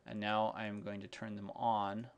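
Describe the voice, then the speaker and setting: Male tutorial presenter, lowish pitch with heavy vocal fry, unscripted and halting, walking through each step of a software demo. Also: flat delivery, dull audio. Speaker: software demonstrator. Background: keyboard typing and mouse clicks.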